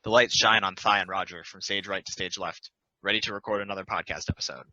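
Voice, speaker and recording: A man's voice: low-pitched, steady tone, fast talker, sharp tone, highs cut off. A man reading a script aloud as narrator at a play table read. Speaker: narrator reading stage directions.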